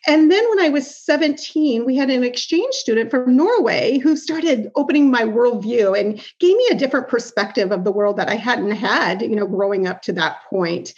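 A woman from Iowa, a guest on a podcast, telling her story as a lively storyteller who laughs easily. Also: a little high, moderate pace, few pauses, highs cut off. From Iowa, United States. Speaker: podcast guest, business founder. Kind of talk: storytelling interview answers.

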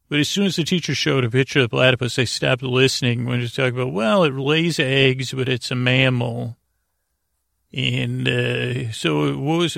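A man hosting a bedtime podcast in a rambling, meandering monologue, often circling back and losing his thread, with a creaky voice. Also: mid-range voice, fairly bright tone, no room sound, clean studio sound. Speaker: podcast host.

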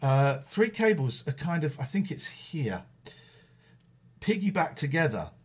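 A man hosts a relaxed online chat, chatty and effusive, and he often slips in playful asides. His voice sits somewhat high. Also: a little slow, husky. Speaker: host. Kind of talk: casual chat.

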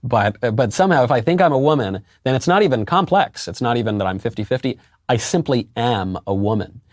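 A man with a deep voice, a guest in a political interview, arguing emphatically with rhetorical questions and sudden jumps in pitch on key words. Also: animated, a little fast, no echo, studio quality. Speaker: interview guest, conservative commentator.

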